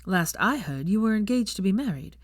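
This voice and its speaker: Woman playing a prickly, guarded scholar, animated pitch. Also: a little fast, dry recording, studio quality, lightly acted. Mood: cool, defensive. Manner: cool, pointed, controlled.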